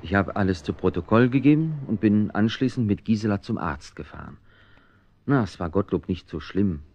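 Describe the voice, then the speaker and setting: An actor playing a resolute, caring man who narrates his own adventure in a radio play, expressive and conversational. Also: on the low side, normal speed, dull audio. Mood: narrating. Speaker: narrator and protagonist.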